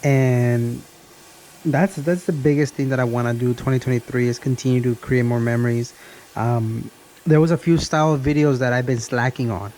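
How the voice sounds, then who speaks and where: A man's voice: mid-range voice, steady tone, moderate pace, darkish tone, a little hiss or hum, poor audio. A man in his early thirties talking in a casual, rambling vlog style, often repeating and restarting his phrases. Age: early 30s. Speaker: car vlogger.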